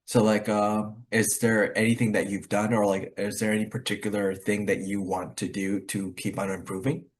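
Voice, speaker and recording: A man's voice: on the low side, flat delivery, normal speed. A man hosting a casual online English practice call, explaining things in a rambling, hedging way. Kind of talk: casual group discussion.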